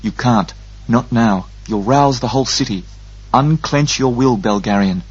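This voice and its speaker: A man's voice: medium pitch, breathy edge, dull audio. A man voicing a stern, controlled disembodied voice that speaks inside someone's mind. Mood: sharp, restraining. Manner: sharp, measured commands.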